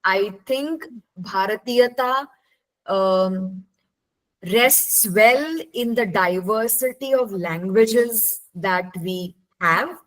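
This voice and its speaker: A woman hosting a session over a video call, speaking slowly and graciously in a mid-pitched voice. Her sound comes through a webcam mic. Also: poor audio. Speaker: session moderator. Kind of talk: video call thank-you remarks.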